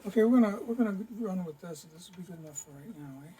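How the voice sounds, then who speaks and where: A man's voice: sits high, animated, rapid-fire, warm tone, slight background noise. A man casually explaining hobby modeling techniques step by step in a workshop. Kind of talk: casual hands-on tutorial. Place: basement workshop with paint booth.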